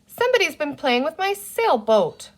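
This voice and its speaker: A woman's voice: sits high, very animated, moderate pace, voice pushed up. A woman voicing a practical, matter-of-fact young boy in a children's storybook adventure. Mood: surprised, indignant.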